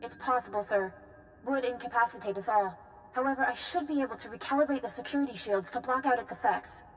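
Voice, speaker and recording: An actress playing an eager, capable junior corrections officer in a video game, giving crisp technical reports. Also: female voice, a little high, quick pace, lightly acted, low-quality recording. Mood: helpful, earnest.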